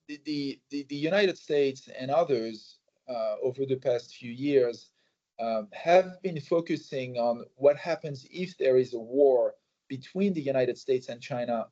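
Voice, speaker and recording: A man answering interview questions in a measured way, with many fillers and restarts. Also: medium pitch, mellow. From France. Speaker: think tank president, guest. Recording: slightly muffled recording.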